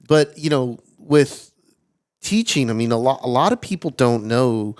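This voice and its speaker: A man hosting a podcast interview, talking in a relaxed way full of fillers like "you know". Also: on the low side, no room sound, clean studio sound. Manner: relaxed, filler-heavy, admiring. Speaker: podcast host. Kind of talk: casual interview.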